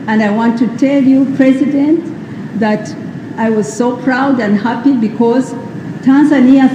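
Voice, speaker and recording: Slow, emphatic woman in echoey hall. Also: a little high, background noise. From Uganda. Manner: slow, emphatic, rhetorical questions. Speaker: speaker at a World AIDS Day event. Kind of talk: formal public speech. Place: large hall at a public ceremony.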